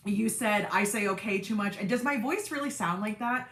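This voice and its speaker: A woman's voice: normal speed, fairly bright tone, reverberant. Chatty woman hosting a sewing livestream. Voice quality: deep speaking voice in everyday talk. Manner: chatty and self-deprecating, lots of fillers and asides. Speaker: sewing channel host on a livestream. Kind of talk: casual livestream chat reading viewer comments.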